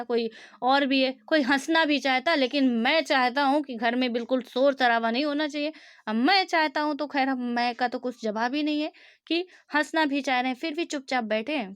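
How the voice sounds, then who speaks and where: A woman teaching in with a high-pitched voice, the sound close and dry with no room echo. She explains through everyday examples and keeps asking rhetorical questions, checking in with her students. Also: fairly bright tone. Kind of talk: conversational exam-prep lecture.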